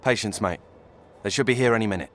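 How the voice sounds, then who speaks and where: A man voicing a brisk, cagey informant in a video game. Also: on the low side, a bit bright, slight background noise, natural voice. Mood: brisk, impatient.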